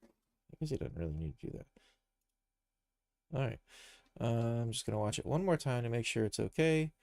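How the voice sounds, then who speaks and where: A man with a creaky, gravelly voice hosts an informal tutorial stream. He thinks aloud, explaining step by step in a casual way. The sound is dry and close. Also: medium pitch, big pitch swings, moderate pace, darkish tone, no room sound. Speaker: livestream host, game developer tutor. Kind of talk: casual live software tutorial.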